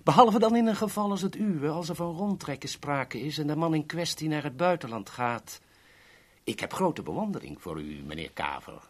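An actor in a 1970s radio play voicing a polite, formal boss who is coolly threatening. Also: male voice, a little high, very animated, moderate pace. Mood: courteous menace. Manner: formal, courteous, smoothly threatening.

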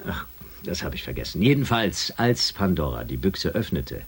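An educated spaceship commander with a deep voice that swings widely in pitch. He explains things with thin patience in the manner of a science fiction radio drama. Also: very animated, normal speed, creaky, slightly rough, full character voice. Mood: lecturing. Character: male spaceship commander, educated, easily irritated.

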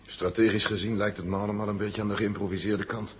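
A man's voice: on the low side, flat delivery, fast talker, close to an everyday voice. A man acting in a 1970 Dutch radio drama as a stuffy army general with pompous, formal military phrasing. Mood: sceptical. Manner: pompous, hesitant humming, formal military wording. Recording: noisy old radio drama recording.

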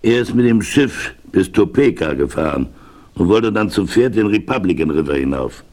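Einen höflichen Städter mit tiefer Stimme. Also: male voice, sits low, lively intonation, normal speed, darkish tone. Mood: courteous. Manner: courteous, formal. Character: polite, concerned older male townsman. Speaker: host receiving a visitor. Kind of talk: 1970s German radio play acting.

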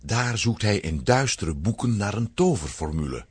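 A man's voice: fairly low pitch, expressive, moderate pace, husky, dry recording. A man narrating a scripted children's story in a dramatic storytelling manner.

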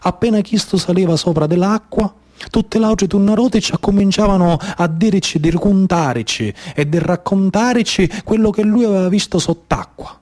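Male actor as gentle giant tuna, Sicilian storyteller for radio theatre, colloquial and reassuring. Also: sits high, normal speed, natural voice. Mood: reminiscing.

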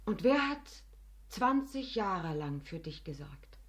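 An actress playing an aging, spurned companion in a German radio play, imploring and weary, with an emotional, halting, often hushed delivery. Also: female voice, on the low side, sing-song. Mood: wounded, reproachful.

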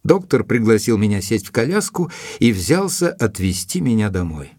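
An actor playing a thoughtful gentleman who narrates his own account in an audio drama, measured, literary and reflective. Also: on the low side, sing-song, husky, no room sound, clean studio sound. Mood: reflective narration.

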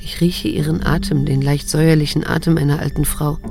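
An actress playing a bitter, weary middle-aged daughter in a radio drama, restrained and measured in dialogue and inner monologue. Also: female voice, low-pitched, little pitch movement, vocal fry, darkish tone, faint music behind. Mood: inward, repelled. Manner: restrained, measured, cool, introspective. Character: bitter, weary grown daughter, probing and self-controlled.

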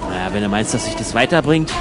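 A man's voice: on the low side, lively intonation, fast talker, music in the background, natural voice. A man playing a sceptical, nagging young traveller in a casual radio play, questioning and fussy in his talk. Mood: pedantic, bickering. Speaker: narrator and travelling companion.

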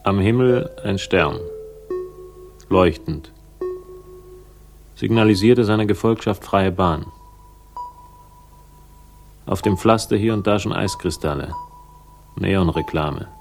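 Laconic male investigator, low voice, dry irony, literary phrasing, vintage radio crime play, music behind. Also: slow, creaky, long pauses, full character voice. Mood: wry, reflective. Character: wry, laconic male investigator narrating his own case. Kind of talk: 1960s German radio crime drama, first-person narration and dialogue.

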